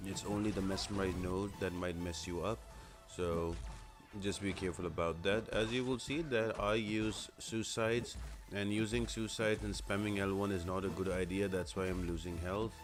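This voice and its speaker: A man's voice: deep voice, fairly even intonation, normal speed, music in the background. A man hosting a game guide, explaining tactics in casual walkthrough commentary. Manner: explanatory, instructional. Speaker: gaming guide host.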